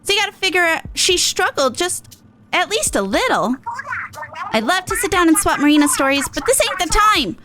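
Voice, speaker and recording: Woman doing a high, brash pop-star sidekick. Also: moderate pace, heavy background noise. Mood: determined, caring. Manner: slangy, punchy, excitable. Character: brash, upbeat young female pop-star sidekick, fiercely loyal. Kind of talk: character reading of video game dialogue.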